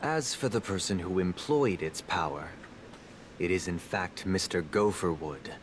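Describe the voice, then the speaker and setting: A male actor playing a solemn, guarded investigator in a video game, his voice hushed and breathy at times. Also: deep voice, animated, unhurried, slight background noise. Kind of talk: scripted video game dialogue.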